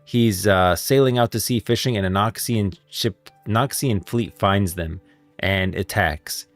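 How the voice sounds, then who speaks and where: A man's voice: on the low side, unhurried, no room sound, soft background music. A man giving casual livestream commentary on game lore, rambling and thinking aloud as a streamer.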